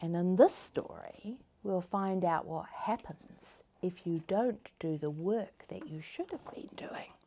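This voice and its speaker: Slow-paced woman reading a children's story. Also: fairly low pitch. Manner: gentle, expressive, deliberate phrasing for children. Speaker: storyteller, host.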